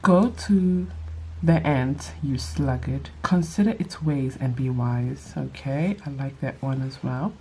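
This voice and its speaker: A young woman with a nasal, allergy-stuffed voice, reading scripture aloud and adding quick casual asides in a Bible study vlog. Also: extremely low pitch, a little mellow, slight background noise, low-quality recording. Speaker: vlogger leading a Bible study.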